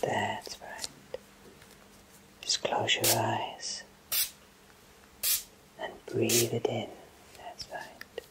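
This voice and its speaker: A man in his thirties voicing a kindly fantasy potion shopkeeper in a soothing, breathy ASMR whisper. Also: lowish voice, monotone, poor audio. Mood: soothing, hypnotic. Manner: slow, soothing, reassuring. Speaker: ASMR roleplayer.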